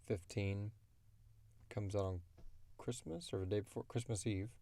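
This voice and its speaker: A man hosting a question-and-answer show in an informal, emphatic and animated way. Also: fairly low pitch, measured pace, vocal fry. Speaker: host and creator of an audio drama podcast. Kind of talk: casual chat answering listener questions.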